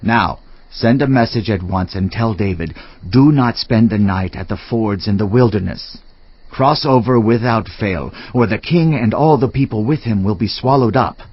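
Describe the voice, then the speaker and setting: Actor as a measured, persuasive royal adviser, dry sound. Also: male voice, lowish voice, breathy edge, dry recording, lo-fi sound. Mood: urgent. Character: male royal adviser, grave, persuasive, counselling a prince. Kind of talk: dramatized scripture acting.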